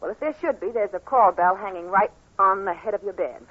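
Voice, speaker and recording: An actress playing a brisk, reassuring hospital nurse in a professional manner, in the style of 1940s radio drama. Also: female voice, mid-range voice, natural voice. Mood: businesslike. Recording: dull, noisy 1940s radio recording.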